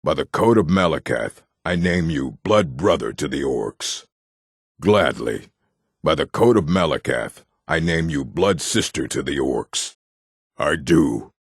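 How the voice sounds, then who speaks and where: A man voicing a gruff, proud orc warrior in a fantasy video game, with a gravelly, husky voice and a terse, blunt delivery. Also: very deep voice, lively intonation, unhurried, no room sound, clean studio sound, exaggerated voice. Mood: solemn, matter-of-fact.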